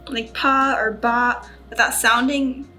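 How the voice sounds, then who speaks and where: Higher-voiced woman, unhurried casual study chat. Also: fairly even intonation, bright, forceful tone. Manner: self-conscious, careful when pronouncing foreign words. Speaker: study vlogger and Japanese learner. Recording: background music under voice. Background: music.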